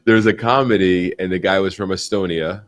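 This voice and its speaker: Deep-voiced man hosting a live chat. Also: brisk, dry recording. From United States. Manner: animated, rambling, frequent fillers and restarts. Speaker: livestream host. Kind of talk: casual live interview.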